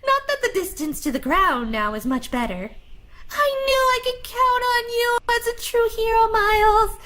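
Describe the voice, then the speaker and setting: Woman voicing a very high-pitched, excitable young superheroine. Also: moderate pace, exaggerated voice. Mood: nervous, quippy. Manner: quippy, excitable. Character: Gwenpool, hyperactive quippy young superpowered woman. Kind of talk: comic dub voice acting. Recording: loud music behind the voice.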